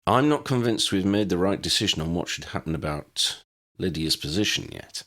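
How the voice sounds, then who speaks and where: A man voicing a serious, cautious adult wizard hero in a storybook, measured and worried. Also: deep voice, animated, fairly bright tone, full character voice. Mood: doubtful. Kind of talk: storybook character acting.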